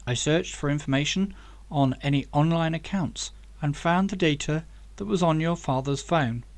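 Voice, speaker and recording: A man's voice: on the high side, normal speed, natural voice. A man voicing a helpful computer professor in an audiobook, giving information in a matter-of-fact way. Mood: helpful, factual. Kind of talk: audiobook character dialogue.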